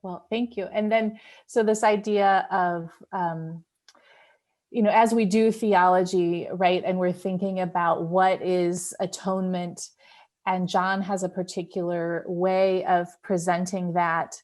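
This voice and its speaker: A woman with a voice a little lower than most leads a church discussion group. She speaks informally and reflectively, like a pastor explaining scripture, and often checks in with a small tag question. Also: level tone, measured pace, a little mellow. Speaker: group leader and pastor of a church Bible study. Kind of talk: casual Bible study discussion.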